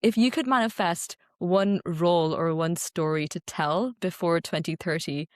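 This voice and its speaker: A woman's voice: on the low side, normal speed, no echo, clean studio sound. A young woman with a mixed, mostly American-sounding accent, hosting a podcast in a bubbly, encouraging way. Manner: bubbly, encouraging, laughs often. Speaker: podcast host. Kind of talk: casual interview chat.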